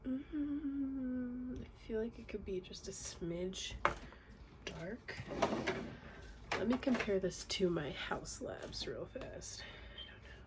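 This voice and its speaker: A woman's voice: on the high side, slow pace, pauses often, very noisy. A young woman with a congested, nasal voice from a cold, chatting casually in a makeup tutorial at home. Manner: chatty, relaxed, many fillers. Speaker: beauty vlogger. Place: home, indoors.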